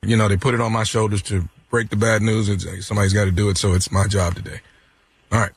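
A man's voice: fairly low pitch, flat delivery, fast, husky. A man playing an apologetic office manager who has to break bad news, hesitant and stammering. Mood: confiding, resigned. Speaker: comedian doing prank phone calls.

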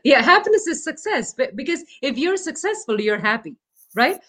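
Chatty woman, halting delivery. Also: high voice, very animated, a bit bright, a little hiss or hum. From India. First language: Malayalam. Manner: chatty, hesitant, many fillers and restarts. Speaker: guest. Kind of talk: casual remote podcast interview.

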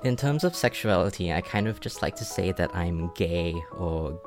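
Very low-voiced young person from Singapore. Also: male voice, moderate pace, music in the background. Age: 20s. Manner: relaxed, heavy use of "like", many restarts. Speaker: interview guest. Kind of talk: casual personal storytelling.

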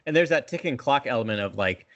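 A man's voice: medium pitch, animated, fast, a bit bright. A man co-hosting a casual podcast review, eager and often checking for agreement, on slightly muffled audio. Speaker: podcast co-host. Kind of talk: casual book review discussion. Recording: dull lo-fi audio.

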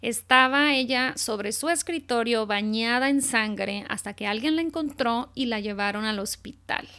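A woman talks straight to her listeners in a relaxed, animated monologue. Her voice sits a little high and sounds smooth and clean. She fills her speech with little fillers and quick self-corrections. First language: Spanish. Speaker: podcast host. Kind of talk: casual monologue.

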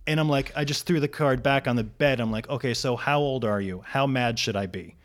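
A man in his thirties tells a story on a podcast. He is a comedian, and he swears freely and acts out scenes as he goes. His voice sits at an ordinary middle pitch. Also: fairly quick. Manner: profane, animated storyteller. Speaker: comedian guest. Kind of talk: casual storytelling chat.

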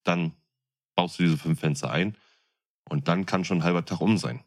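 Deep-voiced man in casual chat. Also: normal speed. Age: 30s. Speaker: podcast co-host.

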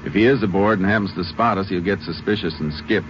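A calm Texas Ranger with a very low, laconic drawl, as an actor plays him. The sound is muffled, lo-fi old radio, with music often playing underneath. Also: male voice, fast, noisy background, lightly acted. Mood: steady. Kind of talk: 1950s radio drama acting and narration.

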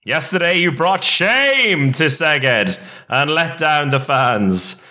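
A man doing a melodramatic dramatic reading as an outraged sports fan, slow and emphatic. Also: on the low side, bright, forceful tone, clear, resonant tone, pitched above the performer's own voice. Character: outraged sports fan group declaiming an open letter.

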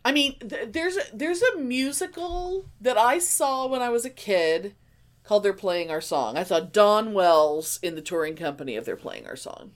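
Animated, effusive woman chatting. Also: medium pitch, normal speed. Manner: effusive, excitable, emphatic. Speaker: podcast co-host. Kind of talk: casual chat.

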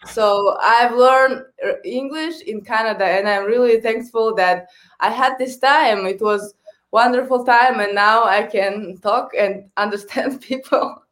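A woman's voice: fairly high pitch, a little slow, fairly bright tone. A young adult woman from Kazakhstan, a native Kazakh speaker with a Kazakh accent, answering interview questions hesitantly in English as a hockey coach. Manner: hesitant, searching for words in non-native English.

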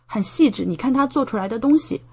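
Casting: young female podcast guest, fairly high-pitched, talkative and informal, explains through vivid everyday examples. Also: lively intonation, quick pace, husky. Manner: chatty, explains with many concrete examples. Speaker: podcast guest, medical PhD student studying in Japan. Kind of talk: casual podcast conversation.

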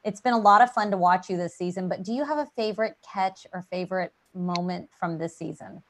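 A woman's voice: fairly low pitch, fairly quick. Chatty upbeat woman interviewer. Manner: chatty, upbeat, says "all right" often. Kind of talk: friendly sports interview.

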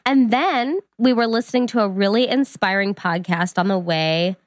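A young woman co-hosting a casual podcast, a little congested from a cold, telling stories in a relaxed way. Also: medium pitch, moderate pace, no room sound, studio quality. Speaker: podcast co-host. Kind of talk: casual chat.

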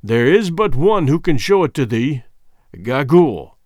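A man's voice: a little high, sing-song, a little slow, a little mellow, dry recording, studio quality. A man voicing a stern warrior king in a storybook, threatening and imperious, speaking in solemn archaic phrases.

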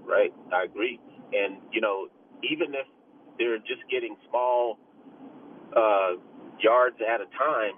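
A man's voice: fairly low pitch, slow pace, long pauses. Older american guy on a crackly thin phone line, rambles with lots of you knows, talking football. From Pittsburgh, USA. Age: middle-aged or older. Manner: rambling, peppered with "you know". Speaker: caller to a sports radio show. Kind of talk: casual football talk. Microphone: telephone. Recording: tinny, noisy phone line.

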